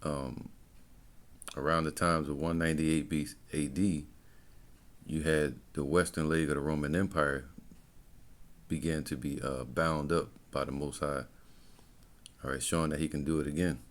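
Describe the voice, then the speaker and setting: An American man giving informal religious teaching and commentary as he reads, in halting short phrases. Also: a bass voice, fairly even intonation. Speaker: religious camp teacher and presenter.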